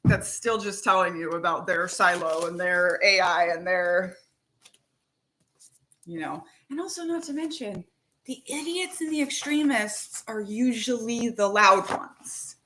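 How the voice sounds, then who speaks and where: A chatty woman hosting a casual talk, animated and outspoken, tossing in laughs and blunt asides. Her voice sits at an ordinary middle pitch. A faint hiss and some clicks sit under the recording. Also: unhurried, low-quality recording. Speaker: host. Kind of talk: casual chat to camera.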